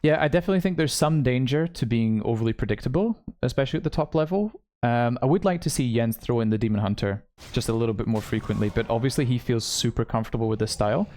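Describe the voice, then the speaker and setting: A man with a mix of British and American English, calmly analyzing a live esports match and laughing easily. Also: mid-range voice, fast, few pauses. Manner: easygoing, laughs often, analytical. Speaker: esports co-caster. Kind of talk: live game commentary.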